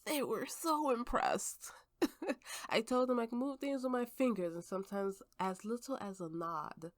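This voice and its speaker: A woman playing a tipsy, haunted woman with magical powers, telling her story slowly and haltingly in an intimate ASMR roleplay over music. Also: on the high side, no echo, close to an everyday voice. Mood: reminiscing. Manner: halting, drunken rambling with frequent laughs. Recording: voice over soft background music.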